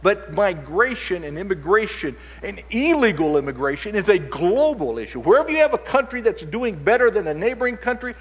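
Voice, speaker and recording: A man raised in Chile speaks from a conference stage with a fairly high, husky voice. He lectures steadily but often doubles back on his words. A long reverb from the hall trails behind him. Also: big pitch swings, brisk, few pauses. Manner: stammers and repeats words as he restarts. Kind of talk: lecture-style conference talk.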